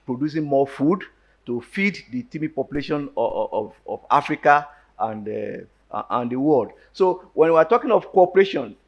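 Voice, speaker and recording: A man's voice: medium pitch, expressive, moderate pace. Guy on a farmers forum panel, lots of uhs and ums, stops and restarts. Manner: hesitant, many fillers and restarts. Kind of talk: panel discussion answer.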